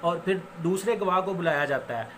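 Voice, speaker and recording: Male law lecturer, Pakistani accent, higher-pitched voice, didactic and repetitive, English legal terms mixed in, faint noise behind. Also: fairly quick, fairly bright tone. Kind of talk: informal explanatory law lecture. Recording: noticeable background noise.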